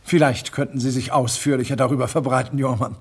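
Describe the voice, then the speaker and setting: A suave murderous collector in a radio drama. The voice is very husky and sits at a middle pitch. He speaks in a smooth, mocking, quietly menacing way. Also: little pitch movement, quick pace. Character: suave murderous male art collector, villain.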